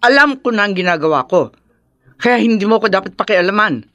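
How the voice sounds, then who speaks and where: A woman's voice: medium pitch, lively intonation, fairly quick, fairly bright tone, no room sound, highs cut off, close to an everyday voice. A Filipino woman playing a selfish, brash middle-aged mother in a radio drama. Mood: irritated, snappish. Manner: brash, dismissive. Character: selfish middle-aged mother, doting on her lover, snappish with daughter.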